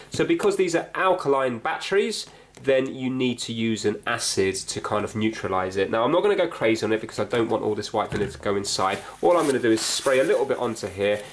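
A man casually narrating hands-on repair work, thinking aloud and explaining each step in a tentative way. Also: lowish voice. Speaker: host of a repair video. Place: indoors at a cluttered workbench. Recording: clear background noise, clicks from handling.

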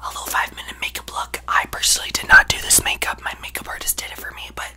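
A young man voicing a brash, self-absorbed young female pop star in a breathy, gushing ASMR whisper close to the microphone. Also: fast, pushed and bright. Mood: hyper, chatty. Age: young adult. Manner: gushing, exaggerated, boastful, rambling. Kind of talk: ASMR roleplay, celebrity parody.

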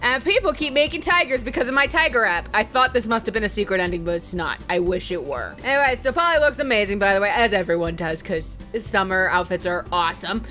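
A woman's voice: mid-range voice, animated, quick pace, hardly any pauses, sharp tone, soft background music. A woman casually recapping a video game as host, chatty, joking and sweary, with playful asides. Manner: chatty, sweary, with playful mock voices for quotes. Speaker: let's play host.

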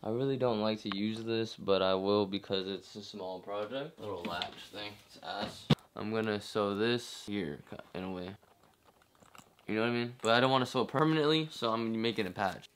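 A man's voice: lowish voice, moderate pace, fairly bright tone, a little hiss or hum. A young man in his twenties vlogging casually from his garage and backyard, rambling loosely in slang with lots of swearing, talking into a handheld camera. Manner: laid-back slangy rambling, frequent swearing. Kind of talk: casual vlog chat. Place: home garage, backyard chicken pens and bedroom. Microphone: handheld camera held close.

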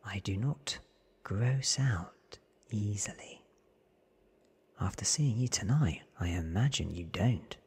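Cool vampire woman in a husky whisper done by a man. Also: on the low side, slow, a little mellow, heavily acted. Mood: cool, wry. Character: ancient female vampire, youthful, teasing, self-assured. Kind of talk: whispered ASMR storybook character reading.

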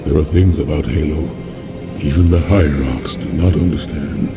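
A noble alien warrior from a video game, grave and formal. His low voice has a gravelly edge and rings in long reverb, with music behind. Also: moderate pace. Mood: stern resolve. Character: noble, solemn alien warrior, stern and questioning. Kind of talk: video game cutscene acting.

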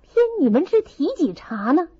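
Lady reader as a keen rich teen boy from an old novel, flattering, really high voice, dull recording. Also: moderate pace. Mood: playful. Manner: flattering, exclamatory. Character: playful, eager, good-natured teenage boy aristocrat. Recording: slightly dull, muffled recording.

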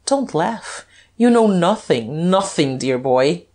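A woman's voice: fairly low pitch, lively intonation, unhurried, darkish tone, pitched above the performer's own voice. A woman voicing a cool, scornful aristocratic man who taunts with easy superiority in a dramatized audiobook. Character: suave, cool, scornful aristocratic gentleman duke.

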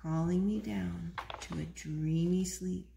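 A woman in her thirties reading a picture book aloud to small children in an expressive, singsong way at home. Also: fairly low pitch, slow, gravelly. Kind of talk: storytime read-aloud. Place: living room at home, on a sofa. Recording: roomy, noisy home video recording.

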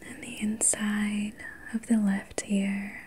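Woman doing asmr relaxation, super breathy whisper, really slow and soothing, soft mellow tone. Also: mid-range voice, completely flat delivery. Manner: soothing, slow, gentle personal attention. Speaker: ASMR host and reiki practitioner.

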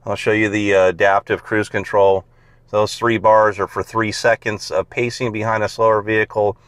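A man's voice: fairly low pitch, little pitch movement, normal speed, clear, resonant tone, no room sound. A man, a car salesman, casually walking through a car's features on a dealer lot and explaining them step by step with plenty of fillers.

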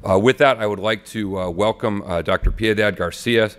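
Deep voiced guy thanking everyone at a press conference, lots of uhs, podium mic. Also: steady tone, normal speed, a bit bright. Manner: earnest, thankful, many fillers. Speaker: county board chair. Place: large indoor hall.

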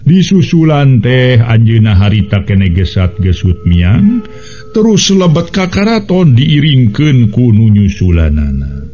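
Slow-paced male radio storyteller, muffled sound. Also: medium pitch, sing-song, warm tone. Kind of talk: dramatic radio story narration. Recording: dull lo-fi radio recording with music.

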